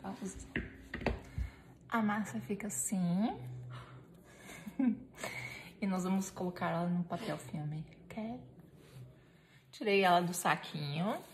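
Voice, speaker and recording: Chatty woman cooking in an echoey kitchen. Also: fairly low pitch. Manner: chatty and playful, talks to viewers and her helper while kneading. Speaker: home cooking vlogger. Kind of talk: casual cooking demonstration. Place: home kitchen, indoors. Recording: echoey kitchen with clear background noise.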